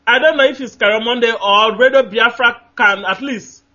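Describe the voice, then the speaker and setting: A man's voice: extremely high pitch, moderate pace, pushed and bright, lo-fi sound. A man with a Nigerian accent calling in to a radio talk show, speaking emphatically and repeating his points, often groping for names with fillers. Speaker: caller.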